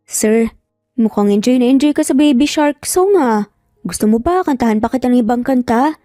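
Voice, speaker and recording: A woman's voice: fairly high pitch, expressive, moderate pace, dark tone, no echo, clean studio sound, soft background music, lightly acted. A woman voicing a playful, cheeky young single mother who teases her boss with mock-dramatic pleading in a narrated story.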